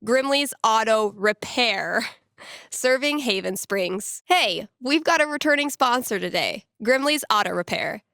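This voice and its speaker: A woman's voice: on the high side, animated, unhurried, pushed and bright, no room sound, clean studio sound, heavily acted. A woman playing a wry, playful young small-town radio DJ in a video game, talking casually with teasing asides. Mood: playful, dry.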